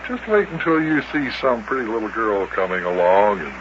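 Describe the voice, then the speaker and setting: A man's voice: fairly low pitch, sing-song, fairly quick, background noise. A man playing a folksy, teasing, chuckling companion to a boy in 1950s children's radio style. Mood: amused, teasing. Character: good-natured, teasing grown man, a boy's companion. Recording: muffled old radio recording with music.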